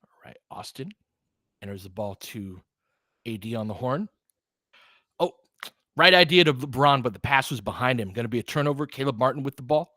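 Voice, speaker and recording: A man's voice: mid-range voice, expressive, brisk, long pauses, sharp tone, no room sound. Man calling basketball, close dry sound. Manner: informal, conversational, speaking to viewers directly. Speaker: livestream host and play-by-play commentator. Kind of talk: casual live basketball commentary mixed with chat to viewers.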